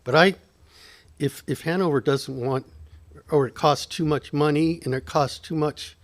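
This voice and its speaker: A man from Ethiopia, a council member, stating his opinion at a public meeting in a deliberate, emphatic way. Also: on the high side, fairly even intonation.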